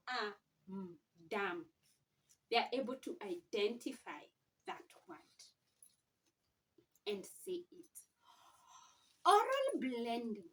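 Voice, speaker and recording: A woman giving an informal reading lesson to parents, explaining deliberately and posing questions as she teaches. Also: sits high. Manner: deliberate, sounds out letters and words, asks rhetorical questions. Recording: slightly distant microphone.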